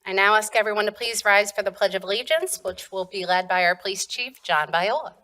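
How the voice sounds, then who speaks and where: A woman's voice: mid-range voice, brisk, pushed and bright. A woman chairing a township board meeting, reading procedure politely and steering speakers with courteous apologies into a desk microphone.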